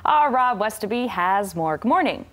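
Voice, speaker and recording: Animated American woman anchor. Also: medium pitch, normal speed. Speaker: morning news anchor. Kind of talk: chatty scripted news intro. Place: TV news studio desk.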